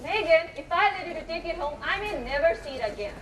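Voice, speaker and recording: A woman's voice: extremely high pitch, expressive, quick pace, far from the mic. A young woman in an amateur stage play acting a patient but exasperated schoolteacher, speaking in an instructive way. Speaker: student actor in a school play. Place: echoey hall, distant microphone. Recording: echoey, noisy stage recording from afar.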